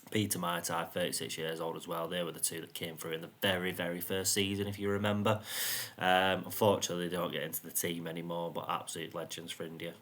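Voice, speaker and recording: A man with a self-described monotone voice, casually commenting on his football management game, rambling with plenty of ums. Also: deep voice, quick pace. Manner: rambling, frequent ums and "you know what I mean". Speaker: gaming YouTuber.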